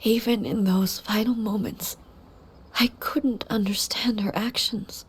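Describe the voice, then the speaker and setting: A woman playing a solemn, grieving immortal ruler in a video game, speaking formally and softly with measured composure. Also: on the high side, breathy edge. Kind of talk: dramatic video game voice acting.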